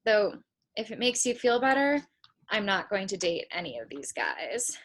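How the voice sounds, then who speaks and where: A woman playing a determined, hopeful young aspiring writer, speaking deliberately in a comedic table read. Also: mid-range voice, big pitch swings, a bit bright. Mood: resolute. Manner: deliberate, resolute.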